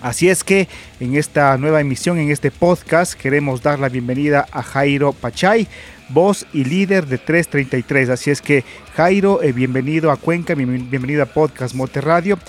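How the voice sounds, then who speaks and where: A man hosting a podcast interview, speaking haltingly and often repeating words. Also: fairly high pitch. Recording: music playing behind the voice. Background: music.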